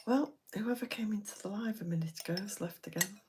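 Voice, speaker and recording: A woman hosting a late-night live stream, talking to her viewers in a soft, half-whispered voice. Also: medium pitch, brisk, background noise.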